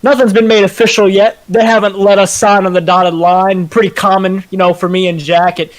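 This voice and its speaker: A man's voice: high-pitched, level tone, fairly quick. A man hosting a wrestling promo and boasting as tag team champion, brash and showy as he plays to the crowd. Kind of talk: hyped wrestling promo talk.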